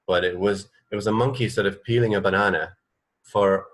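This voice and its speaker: A man with a lowish voice co-hosts an informal radio show. He speaks conversationally and a bit haltingly, working out his thoughts aloud. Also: steady tone, fairly bright tone. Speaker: radio co-host. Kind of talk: casual radio chat.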